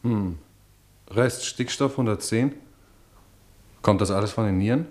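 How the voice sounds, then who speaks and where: An actor playing a sober hospital doctor, questioning and dry, in a German radio drama. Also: male voice, fairly low pitch, measured pace, darkish tone, lightly acted. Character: sober male hospital doctor weighing a case.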